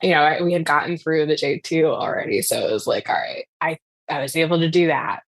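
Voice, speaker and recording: A woman's voice: sits low, expressive, a little fast, no echo. A woman podcast guest sharing personal anecdotes in casual, conversational speech.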